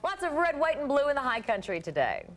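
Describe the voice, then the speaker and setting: Lively female news anchor. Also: high-pitched, fast talker, very noisy. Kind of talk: news reading.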